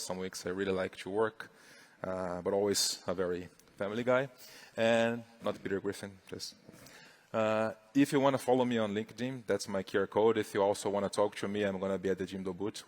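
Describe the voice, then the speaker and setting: A middle-aged Brazilian man with a Brazilian Portuguese accent, giving a chatty, upbeat conference talk on stage. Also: fairly low pitch. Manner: upbeat, chatty, filler-heavy. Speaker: conference speaker.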